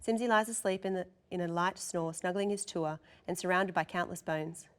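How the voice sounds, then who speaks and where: A woman's voice: lowish voice, moderate pace. A woman reading scripted narration and stage directions as narrator at a table read.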